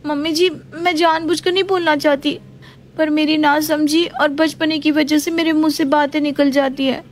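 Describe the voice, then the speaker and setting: A woman's voice: sits high, flat delivery, moderate pace, pitched above the performer's own voice, natural voice. A woman voicing a young, naive and talkative daughter-in-law in an audio family story. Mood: apologetic. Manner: questioning, talkative.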